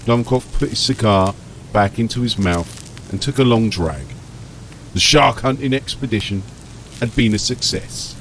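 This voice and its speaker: A man's voice: on the low side, normal speed, a little hiss or hum, low-quality recording. A man narrating an audiobook story in a scripted, dramatic storytelling style.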